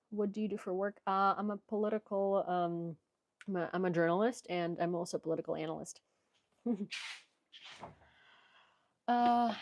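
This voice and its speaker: A woman's voice: medium pitch, darkish tone, slight background noise. A young woman from Ukraine with a Ukrainian accent, hosting a casual livestream, chatty with many fillers and restarts. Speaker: livestream host. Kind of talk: casual livestream chat reading viewer questions. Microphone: headphones.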